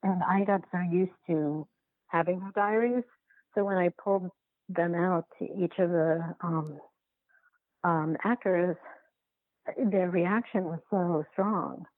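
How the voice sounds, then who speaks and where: A woman being interviewed as a documentary filmmaker, talking casually and haltingly, repeating and rephrasing as she thinks aloud, over a remote call. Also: on the low side, no echo. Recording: phone-like narrow-band line.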